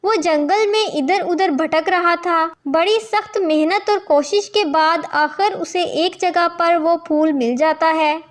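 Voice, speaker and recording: Extremely high-pitched woman storyteller. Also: takes it slowly, hardly any pauses. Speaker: narrator. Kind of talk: scripted children's story narration.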